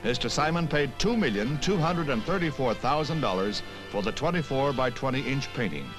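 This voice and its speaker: A man's voice: mid-range voice, animated, fairly quick, rough recording. An American man reading scripted 1960s newsreel narration in a punchy, wry manner, on an old film soundtrack. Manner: punchy, wry, punning delivery. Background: music.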